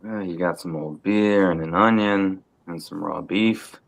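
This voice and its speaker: A man reading the part of a tough, watchful, gruffly protective uncle from a crime family at a film table read. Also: low-pitched, fairly even intonation, unhurried. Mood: calm, reassuring. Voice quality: gravelly.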